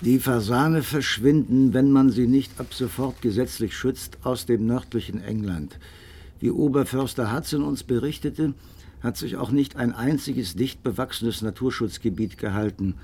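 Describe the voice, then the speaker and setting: An actor playing a good-natured, sceptical detective's companion who narrates and talks in a radio crime drama. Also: male voice, mid-range voice, moderate pace, dark tone, slightly rough. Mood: casual, dismissive.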